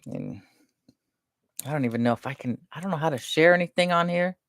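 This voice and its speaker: A soft-spoken woman chatting casually and rambling between topics on a live stream. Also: low voice, a little fast, no echo.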